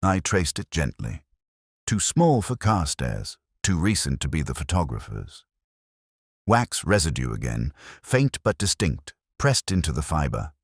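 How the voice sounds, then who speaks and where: A man with an extremely low voice narrates as a pensive, wry detective. His delivery is measured and literary, yet the pitch rises and falls in lively swings. The recording is dry, with no room sound. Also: breathy edge, no echo. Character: pensive, wry male police detective telling his story. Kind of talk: scripted first-person literary mystery narration.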